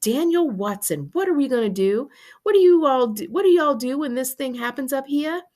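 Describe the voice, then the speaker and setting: A woman's voice: high voice, lively intonation, normal speed, a little mellow, pitched above the performer's own voice. Woman narrator as worried mother, Southern US accent, tender, shaky, fussing, storybook.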